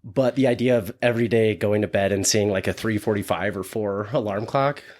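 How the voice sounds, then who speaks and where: A man's voice: fairly low pitch, fairly quick, darkish tone. Chatty man hosting a podcast. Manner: chatty, rambling with many restarts. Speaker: podcast host. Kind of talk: casual interview chat.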